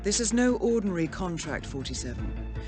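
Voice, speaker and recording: A woman playing a composed intelligence handler, narrating a video game mission briefing in a measured, formal, articulate way. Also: lowish voice, very animated. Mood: grave, composed. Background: music.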